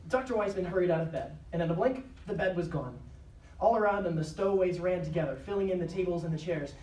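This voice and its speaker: A woman's voice: lowish voice, fast, dark tone. A woman narrating a scripted drama live. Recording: echoey live stage, distant, faint noise.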